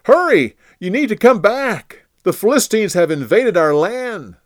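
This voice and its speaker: Man as a frantic messenger, high, shouted, urgent. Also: big pitch swings, unhurried, no echo, studio quality. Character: urgent male messenger bringing war news.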